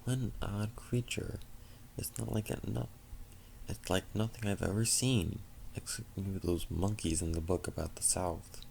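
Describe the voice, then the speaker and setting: A man's voice: low-pitched, steady tone, a little slow, vocal fry, slight background noise. A man voicing a lonely, emotional winged unicorn princess in a storybook reading. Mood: curious. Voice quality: soft. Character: lonely, distraught winged unicorn princess, female, shyly curious.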